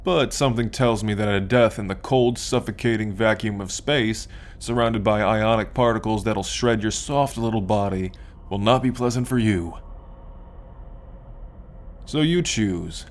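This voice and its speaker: Slow, low-voiced smug alien abductor played by a man. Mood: menacing, possessive. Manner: condescending, taunting, drawn-out menacing delivery. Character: smug, possessive male alien abductor and collector. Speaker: ASMR roleplay performer. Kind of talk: ASMR roleplay, slow dramatic monologue.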